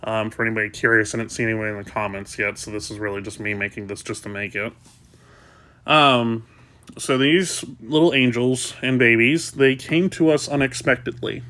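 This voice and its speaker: Casual vlogger, male, congested and sniffly, soft rambling delivery, mid pitch. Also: steady tone, moderate pace. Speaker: kitten rescuer. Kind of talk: casual vlog talk.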